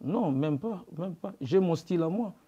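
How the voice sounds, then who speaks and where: A man's voice: high-pitched, lively intonation, moderate pace, dark tone, husky, highs cut off. A man with a Congolese accent giving defensive, emphatic interview answers, stammering and repeating his phrases as he argues. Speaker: guest, music animator.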